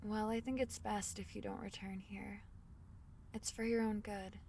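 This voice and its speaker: A woman voicing a tiny, caring fairy in a soothing ASMR fantasy roleplay, speaking softly in slightly formal storybook phrases. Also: mid-range voice, flat delivery, moderate pace, vocal fry, distant mic, a little hiss or hum, natural voice. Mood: wistful. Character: tiny gentle female fairy, caring and protective.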